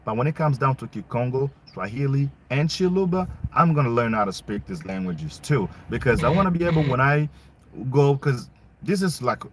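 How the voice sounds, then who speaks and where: A man's voice: mid-range voice, lively intonation, moderate pace, a little hiss or hum. A young man from Congo giving a casual, emphatic opinion monologue full of rhetorical questions, his not English. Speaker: vlogger.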